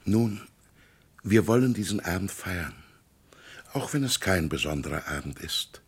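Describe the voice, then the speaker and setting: An old, lonely country pastor in a 1960s radio play. His voice is gravelly and very breathy, with melody that rises and falls widely. He muses haltingly and poetically, full of wistfulness. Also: low voice, animated, heavily acted. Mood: hopeful musing. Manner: musing, halting, poetic delivery. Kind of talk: 1960s German radio drama acting. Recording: 1960s radio drama recording.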